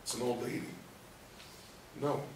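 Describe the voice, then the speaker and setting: An actor in a play reading voicing a frightened, confused paralysed man's inner thoughts in halting, anguished bursts. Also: natural voice. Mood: bewildered. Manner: halting, anguished self-talk in short bursts. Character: frightened, confused man trapped in his paralysed body, sweary. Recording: roomy, distant mic, faint noise.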